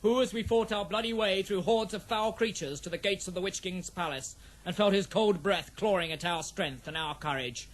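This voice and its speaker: A man's voice: high-pitched, lightly acted, low-quality recording. A man playing a proud, noble warrior prince and loving father in a fantasy audio drama, with stately, declamatory speech.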